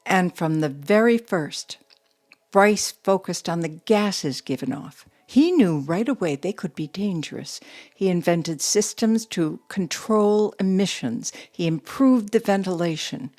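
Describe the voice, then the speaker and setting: A composed, low-voiced young widow boss. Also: female voice, expressive, measured pace, a little mellow. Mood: quietly proud. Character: composed young widow running a steel mill, guarded. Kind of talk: audio drama acting.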